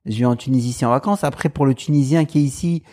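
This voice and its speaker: A man's voice: mid-range voice, very fast. A man with a Paris suburban accent, telling stories in a casual chat and mimicking other people's voices. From Paris suburbs, France. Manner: animated, mimics voices in anecdotes, laughs often. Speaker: guest. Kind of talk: casual storytelling.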